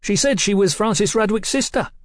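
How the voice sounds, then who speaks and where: A man voicing a shifty, rough-spoken detective's sidekick in an audiobook, excitable and eager. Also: high-pitched, fairly quick, dry recording, clean studio sound, pitched above the performer's own voice, lightly acted.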